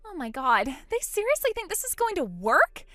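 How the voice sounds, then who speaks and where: A woman doing a panicked high-voiced young sister. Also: wildly swooping pitch, normal speed, bright, forceful tone, no room sound, faint music behind, full character voice. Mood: scared. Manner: breathless, pleading and panicky. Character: frightened young woman, trapped and pleading.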